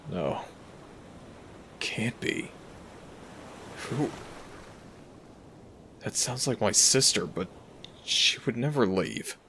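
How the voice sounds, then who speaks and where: Man voicing a deep, earnest dragon prince. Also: vocal fry, fairly bright tone, a little hiss or hum, full character voice. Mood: alarmed. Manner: earnest, formal old-fashioned phrasing. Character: protective young male dragon prince, tender and worried. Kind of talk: romantic audio roleplay acting.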